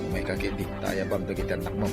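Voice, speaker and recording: A man's voice: sits low, completely flat delivery, fast talker, creaky. A man playing an easygoing, teasing older brother in an Ilocano Filipino radio comedy drama, with playful exaggeration. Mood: exasperated. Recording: loud music behind the voice.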